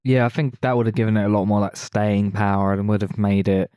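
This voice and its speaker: Man, lowish pitch, mellow tone, dry sound, casual film podcast discussion. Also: steady tone, a little fast, clean tone, no echo.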